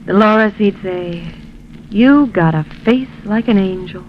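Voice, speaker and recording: A woman's voice: mid-range voice, takes it slowly, close to an everyday voice. An actress playing a scheming, seductive gangster's moll in a 1950s radio crime drama, taunting, sneering and cooing at her man. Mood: taunting, dreamy. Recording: noisy, muffled 1950s radio recording.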